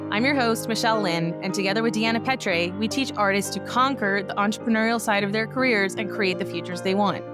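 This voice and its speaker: A woman's voice: mid-range voice, brisk, few pauses, music in the background. A woman podcast host and classical musician reading a scripted, upbeat, promotional intro.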